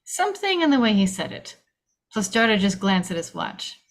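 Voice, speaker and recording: A woman narrates the action of a screenplay. Her voice is of average female pitch. She reads stage directions dramatically, in short, punchy, clipped phrases. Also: sing-song, normal speed.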